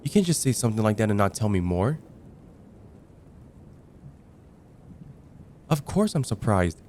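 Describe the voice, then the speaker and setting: A young man playing a casual heartbreaker friend confiding about dating in a conversational, hesitant ASMR roleplay, with sighs and trailing pauses. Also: fairly low pitch, big pitch swings, warm tone, a little hiss or hum. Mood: surprised, curious. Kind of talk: ASMR roleplay, acted one-sided conversation.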